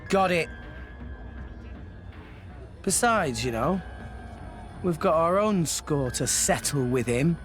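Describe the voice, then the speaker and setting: A man's voice: fairly high pitch, animated, slow pace, pauses often, soft background music. A man with a British accent playing an earnest, eager young adventurer in a video game. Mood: determined.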